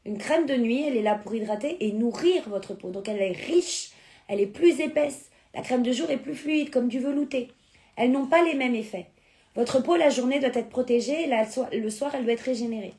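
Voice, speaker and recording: A woman's voice: on the high side, brisk, warm tone. A woman whose first language is Spanish, chatting casually on a live stream as she sells beauty products and answers viewers' comments. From born in France, Spanish family.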